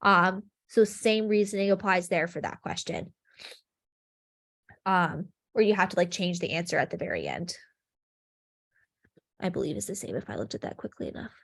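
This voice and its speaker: A woman's voice: on the low side, animated, normal speed, vocal fry, long pauses. A young woman leading a chemistry review session over a video call, sniffly and congested, explaining in a friendly way with lots of ums. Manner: friendly, filler-heavy explaining with frequent ums.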